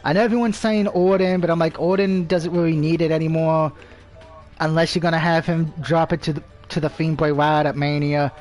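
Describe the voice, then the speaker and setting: A man's voice: fairly high pitch, level tone, brisk, a little mellow, soft background music. A man casually commentating on a live game stream, rambling and swearing, with opinions on everything and a speech impediment.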